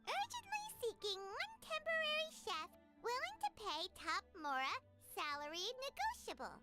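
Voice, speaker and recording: A woman voicing a small, excitable, greedy fairy companion in a video game, with a squeaky childlike voice and chirpy manner. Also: moderate pace, exaggerated voice. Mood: excited, greedy. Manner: chirpy, exaggerated, speaks of self in third person. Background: music.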